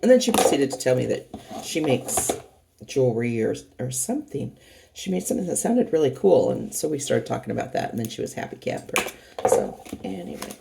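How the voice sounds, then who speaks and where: A middle-aged woman with a hoarse voice from a cold, chatting casually and rambling as she unboxes craft kits for her channel. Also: extremely low pitch, very animated, fairly quick, noisy background. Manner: rambling, chatty, many fillers and restarts.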